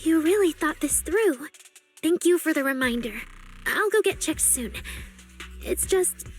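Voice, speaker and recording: Young android idol girl for a game: very high voice, earnest, anxious, hesitant, music underneath. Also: expressive, a little slow. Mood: resigned, wistful. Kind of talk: scripted video game voice acting.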